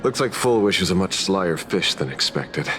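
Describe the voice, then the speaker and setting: A man's voice: sits low, expressive, moderate pace, background noise. A man playing a wary, brooding investigator in a video game, with an understated, terse delivery. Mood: resolute, guarded. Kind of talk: video game character dialogue. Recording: loud music behind.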